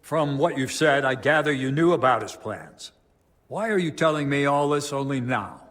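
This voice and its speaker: A man's voice: mid-range voice, lively intonation, moderate pace, fairly bright tone, close to an everyday voice. Devout medieval abbot played by a male actor, video game drama. Grave, formal, troubled. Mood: grave, resolute. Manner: solemn, pious, formal.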